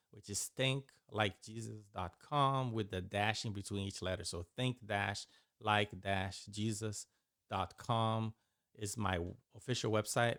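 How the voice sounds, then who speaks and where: A Brazilian-born man whose first language is Portuguese, explaining a Bible course in a loose, halting way full of restarts and fillers. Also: on the low side, fairly bright tone, no echo, clean studio sound. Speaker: Bible and Hebrew teacher. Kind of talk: informal course overview.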